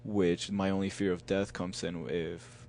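A young man hosts a casual, reflective podcast conversation in an earnest manner. His voice is low and heavily creaky. There is a faint hum and noise behind him. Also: flat delivery, unhurried, a little mellow. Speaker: podcast host.

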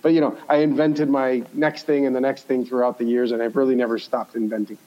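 A slightly dull, lo-fi recording carries a man's medium-pitched voice. He speaks as an interview guest, telling personal stories in a conversational way and repeating key words for emphasis. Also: little pitch movement, brisk. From born in Cuba, grew up in the United States. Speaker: podcast guest, entrepreneur.

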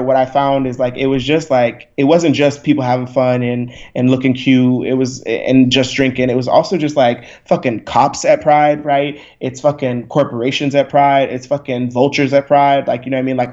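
A man's voice: medium pitch, flat delivery, normal speed, few pauses, a little mellow. Blunt, passionate young man, slightly muffled. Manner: passionate, blunt and profane, with frequent "like" and "right?". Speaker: guest. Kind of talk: candid podcast conversation.

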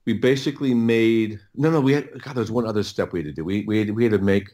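A middle-aged man speaking as a podcast guest, telling stories casually and haltingly, often repeating words as he thinks. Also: lowish voice, a little mellow. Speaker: podcast guest, audio producer. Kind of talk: casual interview storytelling.

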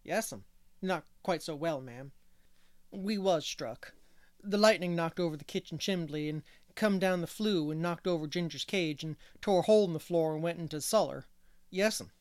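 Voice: mid-range voice, normal speed, a little mellow, dry recording, clean studio sound, lightly acted. A reader voicing a rustic, shaken farmhand lad with folksy country speech in an audiobook. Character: rustic scared hired boy John Henry.

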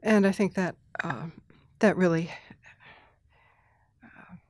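Thoughtful, softly laughing woman interviewee. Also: lowish voice, slow, no echo, clean studio sound. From Thailand. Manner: thoughtful, with restarts, soft laughs and throat clearing. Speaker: interview guest. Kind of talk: reflective conversational interview.

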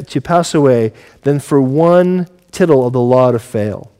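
A man's voice: fairly high pitch, warm tone. A man in his forties speaking as a pastor, giving a sermon in an earnest, conversational way and putting questions to his listeners. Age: 40s.

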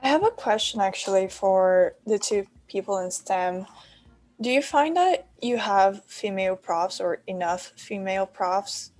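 A woman's voice: mid-range voice, lively intonation, unhurried, darkish tone. A young woman, a university student, putting her thoughts to others in a casual podcast discussion. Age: young adult.

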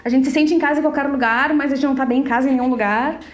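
Brazilian woman co-host, slightly high, chatty, laughs a lot, echoey room with faint music. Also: fairly even intonation, rapid-fire, lo-fi sound. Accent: Brazilian Portuguese. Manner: chatty, laughs often, stretches words. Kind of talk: casual conversational chat.

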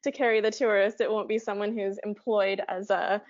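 A woman's voice: medium pitch, little pitch movement, fairly quick, muffled recording. A woman speaking as a podcast host in a casual conversational interview style.

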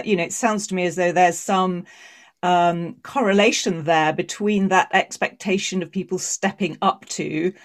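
A podcast host who works as a mediator, asking questions in a reflective way and thinking aloud with small fillers. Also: mid-range voice. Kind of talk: podcast interview.

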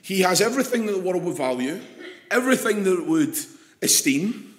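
A Scottish man preaching an evening sermon with a slightly croaky voice, chatty and putting questions to the congregation. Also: high-pitched, very animated, brisk, echoey room. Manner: conversational, asks the congregation questions.

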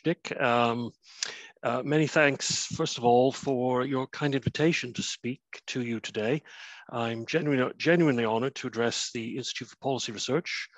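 A Canadian man in his fifties, an author giving a prepared remote lecture, measured and full of uh and um fillers. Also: mid-range voice, no room sound. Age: 50s. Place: his flat, remote talk.

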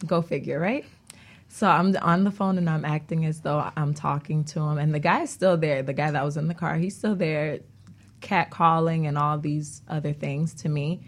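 A woman's voice: deep voice, steady tone, normal speed. A woman telling personal stories in a casual, chatty way, acting out bits of dialogue as a talk show guest. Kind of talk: casual conversational storytelling.

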